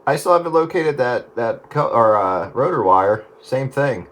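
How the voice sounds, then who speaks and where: A man's voice: mid-range voice, expressive, a little slow, a bit bright. A man chatting casually with fellow hobbyists over two-way radio.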